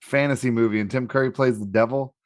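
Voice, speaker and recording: A man's voice: mid-range voice, steady tone, fast, dry recording, clean studio sound. A middle-aged man chatting casually as a podcast co-host, slangy and heavily profane. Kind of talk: casual chat.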